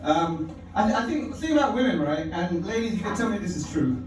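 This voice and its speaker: A man's voice: high voice, lively intonation, a little fast. A man from performing a stand-up set in a comedy club, wry and profane, chuckling at his own lines. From London. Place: comedy club stage, indoors. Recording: echoey club audio from far off.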